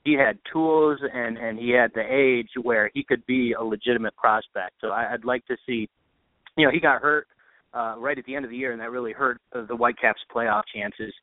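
A man's voice: mid-range voice, fairly quick, no echo. A man on a tinny phone-like line, a sports announcer giving casual analysis as a podcast guest.

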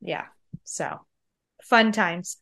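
A woman's voice: lowish voice, extremely slow, vocal fry, fairly bright tone. Nasal woman podcaster with a cold. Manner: chatty, confiding storyteller. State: sick with a cold. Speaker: podcast co-host. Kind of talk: casual chat.

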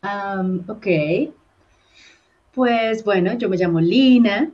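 A woman's voice: medium pitch, drawn-out words, a little mellow. Chatty woman on a dull lo-fi call. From Colombia. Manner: chatty, many fillers and repeated words. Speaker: actress and comedian, guest. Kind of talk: casual interview chat. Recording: dull lo-fi sound.

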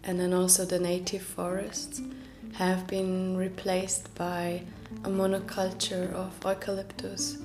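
A young woman speaks English slowly and softly. Her pitch stays quite level as she tells her story, pausing and restarting now and then. She sounds reflective and unhurried. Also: completely flat delivery, over music. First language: Norwegian. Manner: soft and reflective, with small restarts. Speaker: podcast host. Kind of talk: personal storytelling.

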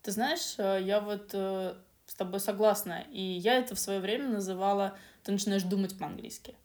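A woman's voice: medium pitch, steady tone, normal speed, darkish tone. A woman co-hosting a podcast, talking in a chatty way with hesitant fillers. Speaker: podcast co-host. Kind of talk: casual podcast chat.

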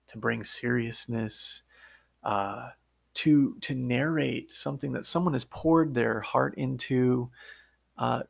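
A man's voice: medium pitch, creaky, husky. A man hosting his own podcast, talking through his work as an audiobook narrator in an earnest, step-by-step conversational way. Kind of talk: casual solo podcast monologue.

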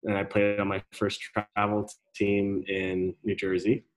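A man's voice: lowish voice, completely flat delivery, measured pace, poor audio. A young man from Denmark, a guest in a casual interview, who speaks hesitantly with many ums and restarts. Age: young adult.

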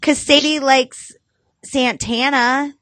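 A woman's voice: high voice, fairly even intonation, slow pace, fairly bright tone, no echo. A woman co-hosting a casual podcast chat, swearing freely, reading things aloud and laughing with her co-host. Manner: laughing, profane, bantering.

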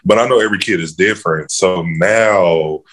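Very deep-voiced easygoing male coach. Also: moderate pace, fairly bright tone. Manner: easygoing, fills with "you know". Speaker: first-year girls' basketball coach. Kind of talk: casual interview answers.

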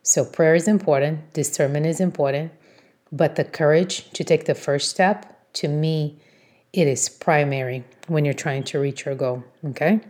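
A woman's voice: sits low, normal speed, a little mellow. A woman whose native language is Portuguese, giving an encouraging motivational talk as a host, in an emphatic, instructive manner. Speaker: podcast host, motivational coach.

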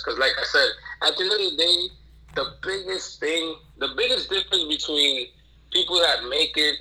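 A man with a very bright, forceful voice talks in a casual interview. His pitch sits in the middle, and he is earnest and emphatic, circling back over phrases and asking rhetorical questions to drive his point. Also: rough recording. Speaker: podcast guest, streetwear entrepreneur.

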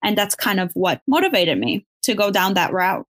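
A woman's voice: medium pitch, big pitch swings, moderate pace, a bit bright, no room sound, studio quality. A young woman from Bulgaria, a pageant contestant, answering interview questions earnestly and encouragingly. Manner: earnest, encouraging, uses fillers like kind of.

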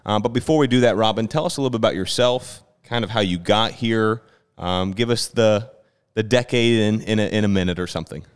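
A man's voice: lowish voice, fairly quick, clean tone. A man hosting a church podcast, interviewing conversationally in an earnest, affirming manner. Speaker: podcast host, church leader.

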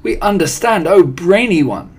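Fawning, excitable storybook witches, as a man puts them on. The voice is pitched well up, with a husky edge, exclaiming eagerly and adoringly. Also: normal speed, full character voice. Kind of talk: storybook character voice.

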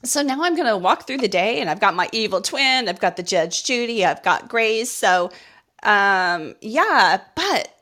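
A woman's voice: mid-range voice, lively intonation, unhurried, a bit bright. A woman co-hosting a radio talk show, joking in a playful, casual way. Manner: playful, jokey. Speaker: radio co-host. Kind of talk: casual chat.

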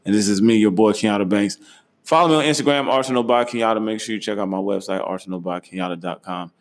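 A man's voice: on the low side, quick pace. Slangy, laid-back young man hosting. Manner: relaxed, slangy, laughs easily. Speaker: host. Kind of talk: casual travel chat.

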